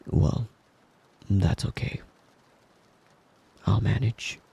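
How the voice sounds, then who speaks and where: A devoted wolf-boy boyfriend, acted by a man, murmurs in a very husky, breathy ASMR voice that often falls to a whisper. He is tender and possessive, lingering slowly over every endearment in a low, soothing bedtime tone. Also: mellow, full character voice. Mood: playful, doting. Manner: tender, doting, slow and lingering with pet names. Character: devoted, possessive young male wolf-boy lover, doting.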